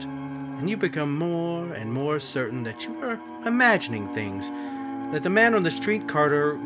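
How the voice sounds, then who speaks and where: A man's voice: on the high side, natural voice. A man playing an ominous, all-knowing narrator of an old-time radio mystery, speaking to the listener as you. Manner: addresses the listener as you, measured. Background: music.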